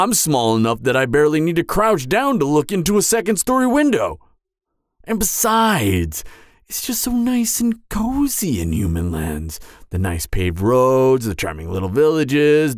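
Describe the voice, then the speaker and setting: A man's voice: mid-range voice, no echo, studio quality. A man voicing a sheepish, chatty, friendly giant in an ASMR roleplay, hesitant, awkward and rambling as he apologises. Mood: chatty, explaining.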